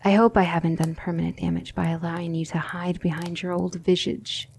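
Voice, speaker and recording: A woman acting a gentle, firm demon tutor, noisy recording. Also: fairly low pitch, level tone, creaky. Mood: tender, soothing. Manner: soothing, measured, formal, sometimes whispery. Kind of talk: ASMR roleplay.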